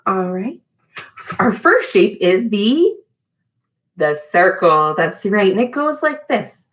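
A woman's voice: medium pitch, very animated, slow. Woman, thirties, preschool storytime teacher, encouraging, simple questions for little kids. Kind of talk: children's storytime lesson with songs. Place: small room at home.

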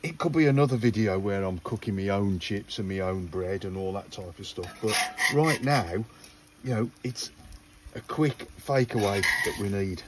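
A man's voice: fairly low pitch, expressive, moderate pace, noisy background. An older man hosting a casual outdoor cooking vlog, chatting to viewers as he cooks at a garden grill. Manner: chatty, talks to viewers while cooking, says "look" often.

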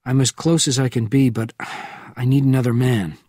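A tough, terse agent speaks low and clipped, as a male narrator voices him. The voice has a husky, breathy edge, and the close recording has no reverb. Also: fairly even intonation, normal speed, mellow, close to an everyday voice. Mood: tense.

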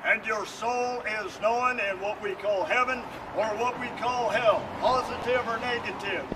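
A middle-aged man giving an earnest, solemn monologue to a camera outdoors, with emphatic, deliberate phrasing. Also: extremely high pitch, fairly even intonation. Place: outdoors beside a building. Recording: distant mic, noisy outdoor video sound.

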